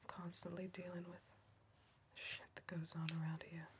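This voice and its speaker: A young woman playing a weary, defiant backwoods caretaker in a whispered ASMR roleplay, speaking softly and dryly, close to the mic. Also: on the low side, completely flat delivery, normal speed, very noisy, lightly acted. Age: young adult. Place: dim indoor room. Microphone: close ASMR microphone.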